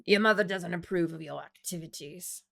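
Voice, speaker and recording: Audiobook role: dangerous, cunning male fighter played by a woman narrator, slow, menacing, creaky low-key delivery. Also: fairly bright tone. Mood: smooth persuasion. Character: predatory, cunning male guild champion, slyly courting.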